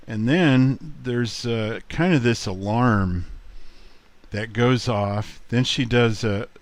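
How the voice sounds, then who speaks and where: A man giving a casual screen walkthrough in a halting, unhurried way, as a video presenter. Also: on the low side, dry recording.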